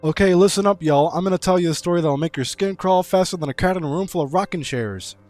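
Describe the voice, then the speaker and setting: A man's voice: medium pitch, a little mellow, faint music behind, close to an everyday voice. A man voicing a folksy rural storyteller, spinning a playful spooky tall tale read aloud. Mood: folksy, animated. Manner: folksy, playful, drawn-out similes.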